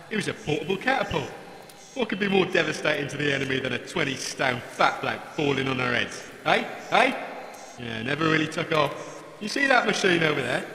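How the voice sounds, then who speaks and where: A man's voice: a little high, gravelly. A man voicing a grumbling, cheeky old working-class robot with a British accent, blokey and sarcastic, in a comic audio drama. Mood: gleeful, sarcastic. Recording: echoey hall, crowd noise behind.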